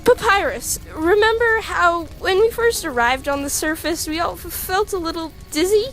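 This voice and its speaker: A woman voicing a nervous female monster scientist, hesitant and stammering, in an animated comic dub. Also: extremely high pitch, moderate pace, faint music behind. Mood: anxious explaining.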